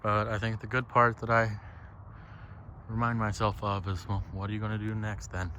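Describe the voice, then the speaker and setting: Low-voiced man musing outdoors. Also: flat delivery, normal speed, bright, forceful tone, muffled recording. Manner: musing, profane, often tags phrases with "right?". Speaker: solo podcaster. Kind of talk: rambling reflective monologue, casual. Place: outdoors on a lakeside trail. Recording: outdoor ambient noise, birds. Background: outdoor ambience with birds.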